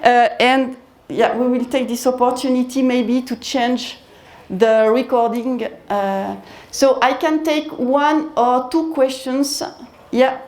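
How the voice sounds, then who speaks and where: A woman presents at a conference with a fairly high voice, speaking into a handheld mic. She talks in a measured way and repeats her key phrases. The hall around her is echoey and roomy. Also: steady tone, darkish tone. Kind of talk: business presentation with Q&A.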